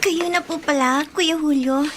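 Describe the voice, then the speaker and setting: High-voiced, timid Filipino housemaid girl. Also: steady tone, a little slow, heavy background noise. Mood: polite, timid. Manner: deferential, polite. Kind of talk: Filipino radio drama acting.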